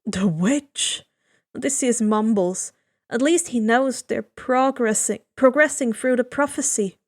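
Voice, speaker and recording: A woman's voice: on the high side, measured pace. A woman reading fan fiction aloud as host and story narrator.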